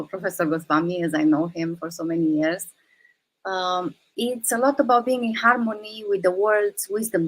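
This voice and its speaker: A woman's voice: lowish voice, fairly bright tone, rough recording. A woman from Romania, giving an earnest, thoughtful explanatory talk full of "uh" and "um" and restarts. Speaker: guest speaker, teacher of quantum activism. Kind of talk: explanatory talk in an online session.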